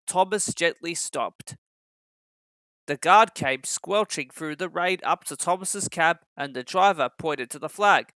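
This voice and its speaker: A man's voice: on the high side, measured pace, bright, forceful tone, clean tone, no echo. A man reading a children's story as a scripted narration.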